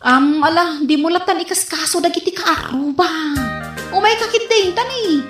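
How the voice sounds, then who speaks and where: A practical wife with an Ilocano accent in a radio comedy. The high, lively voice coaxes and chides soothingly. Music plays under it in a roomy recording. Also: female voice, normal speed. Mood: gently chiding. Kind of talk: comedy radio drama acting.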